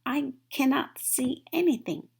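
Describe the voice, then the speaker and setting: A woman's voice: high voice, expressive, measured pace, gravelly, a little mellow, breathy edge, pitched above the performer's own voice, heavily acted. A woman voicing a sleepy, grumpy, reluctant male toad who grumbles and protests in a children's storybook. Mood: distressed. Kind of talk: children's storybook read-aloud.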